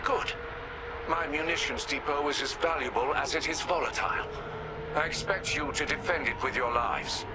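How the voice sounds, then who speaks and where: An actor playing a pompous, territorial Imperial colonel in a video game, giving orders in an imperious manner. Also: male voice, on the high side, normal speed, lightly acted. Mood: haughty. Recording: loud battle and engine noise.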